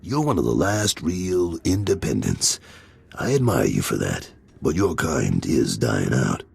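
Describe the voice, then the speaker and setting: Game role: gruff middle-aged crime lieutenant. Rock-bottom pitch, heavy gravel, businesslike. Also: male voice, very animated, measured pace, a little mellow, exaggerated voice. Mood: brisk, confiding. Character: gruff, world-weary male gangster boss, middle-aged father. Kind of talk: video game voice acting.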